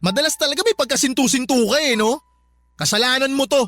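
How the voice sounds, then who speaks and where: A woman's voice: sits high, fast talker, a bit bright, dry recording. A woman voicing a hot-tempered young boyfriend who games, in a radio drama. He talks in an insulting, sarcastic and bossy way. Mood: sarcastic, demanding. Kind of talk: Tagalog radio drama acting.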